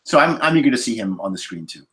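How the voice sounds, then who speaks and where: A man in a casual podcast roundtable, talking in a rambling, halting way and repeating words as he forms his thoughts. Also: mid-range voice, very animated, a bit bright. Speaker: podcast panelist.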